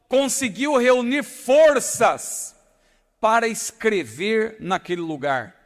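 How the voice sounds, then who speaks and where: High-voiced São Paulo pastor preaching. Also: male voice, fairly bright tone. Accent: São Paulo Portuguese. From São Paulo, Brazil. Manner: slow emphatic reading with rising stress. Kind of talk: sermon. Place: large church hall. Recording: long hall reverb.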